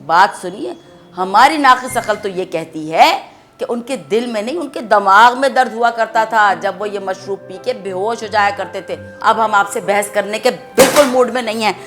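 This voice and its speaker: A woman's voice: a little high, sharp tone. An actress playing an indignant old nawab's wife, a haughty grandmother, scolding with flowery courtesy in a family comedy. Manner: formal, flowery, scolding Urdu-style courtesy. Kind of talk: theatrical family comedy acting.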